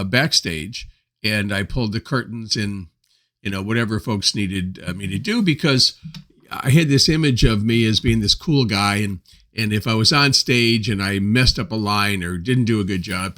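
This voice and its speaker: Older man musing in a low voice. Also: fairly bright tone. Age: 70s. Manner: unhurried, hesitant with frequent pauses and fillers. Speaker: host. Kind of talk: reflective personal monologue.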